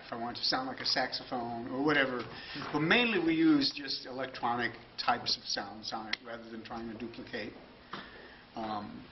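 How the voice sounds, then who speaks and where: Chill older-ish drummer guy explaining his setup, voice fades off, noisy muffled sound. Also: mid-range voice. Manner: relaxed, trailing off softly. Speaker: percussionist. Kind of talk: casual explanation of his instruments. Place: large rehearsal room indoors. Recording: dull lo-fi sound with noise.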